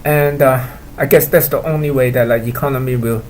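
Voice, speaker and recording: Man, Cantonese first language, casual vlog rant, hesitant and annoyed, mid pitch, faint noise behind. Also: steady tone, a little mellow. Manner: halting, full of fillers and restarts, complaining. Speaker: vlogger. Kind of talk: casual monologue to camera.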